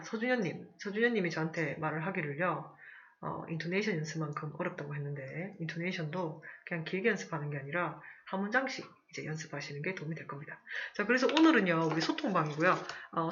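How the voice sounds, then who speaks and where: A teacher chats casually through a live online class, stopping often to clear their throat and filling pauses as they go. Example sentences come out slow and deliberate. The voice sits at a middle pitch, and the audio is slightly dull and lo-fi. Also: a bit bright. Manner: frequent throat-clearing, fillers, reads example sentences aloud slowly. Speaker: English conversation teacher.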